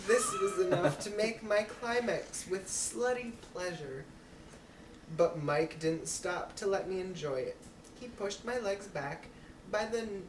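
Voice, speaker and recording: A woman reading a story aloud as an amateur, with a giggly manner. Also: mid-range voice. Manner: giggly, breaking into laughs while reading. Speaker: amateur reader. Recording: roomy, distant mic with clear noise.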